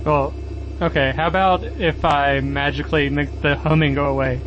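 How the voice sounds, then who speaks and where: A man playing an earnest, naive, hesitant magical character in a comedic audio drama, speaking haltingly. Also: on the high side, fairly even intonation, highs cut off, natural voice. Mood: worried. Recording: clear engine and vehicle noise.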